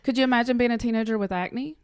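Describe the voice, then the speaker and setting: A woman's voice: fairly high pitch, fast talker, darkish tone, smooth, no room sound, clean studio sound. A woman chatting casually as an interview guest, giving encouraging advice in earnest, emphatic short statements. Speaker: interview guest, clinic front-office worker.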